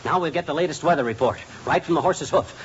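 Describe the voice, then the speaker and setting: An actor playing an excitable, boastful husband in a 1950s radio comedy, exclaiming and blustering. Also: male voice, on the high side, quick pace, slight background noise, close to an everyday voice. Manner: lively, exclaiming, bluster and groans. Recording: dull old radio broadcast with studio audience.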